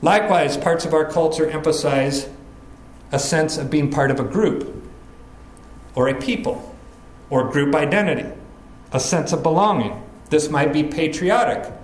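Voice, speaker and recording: A man preaching a sermon, explaining scripture in an earnest, measured teaching manner. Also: fairly high pitch, roomy sound, poor audio. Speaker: pastor. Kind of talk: expository sermon.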